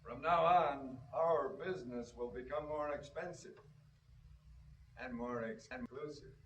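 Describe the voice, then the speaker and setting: A man's voice: medium pitch, a little slow, fairly bright tone, lo-fi sound, a little hiss or hum, lightly acted. An actor playing a calculating corrupt policeman in a stylised radio drama, declamatory and coolly menacing, with clipped retorts. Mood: cold, controlled. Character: smug, calculating corrupt policeman muscling in on racket.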